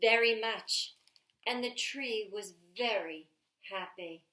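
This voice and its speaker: A woman's voice: on the high side, takes it slowly, pushed and bright, slight background noise. A woman reading a picture book aloud to children as a story time reader, adding chatty little asides. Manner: chatty asides to young listeners. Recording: roomy, echoey home-style recording.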